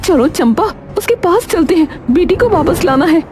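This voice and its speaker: A woman's voice: very high-pitched, lively intonation, normal speed, a little mellow, slight background noise, voice pushed up. A woman voicing a bold, sensible neighbour in a cartoon story, with a frank, urgent and chiding manner. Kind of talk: animated cartoon story dialogue.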